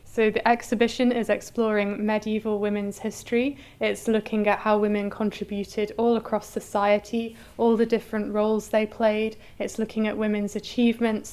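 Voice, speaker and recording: A woman's voice: medium pitch, flat delivery, normal speed, few pauses, a little mellow, clear, resonant tone. A woman giving articulate, informative interview answers as an exhibition curator. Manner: articulate, explanatory.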